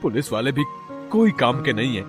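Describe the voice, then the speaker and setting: A man voicing an emotional young husband and father in an animated horror story, with a dramatic, drawn-out delivery. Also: mid-range voice, over music, close to an everyday voice. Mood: despairing.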